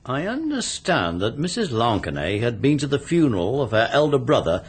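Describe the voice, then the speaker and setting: An actor playing a practical, matter-of-fact English police inspector making official inquiries in a radio mystery drama. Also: male voice, mid-range voice, very animated, normal speed, husky. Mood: practical, inquiring.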